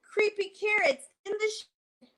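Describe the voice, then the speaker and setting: A frightened little boy rabbit from a storybook, acted out by a woman reader. The voice leaps high and cries out urgently, jumpy and alarmed. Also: fairly even intonation, slow, a bit bright, full character voice. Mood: panicked.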